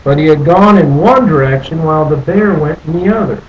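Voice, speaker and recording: A man reading a picture book aloud as a storyteller, deliberately, with questions and asides to his young listeners. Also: fairly high pitch, lo-fi sound, slight background noise. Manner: deliberate, asks the listeners questions and repeats phrases.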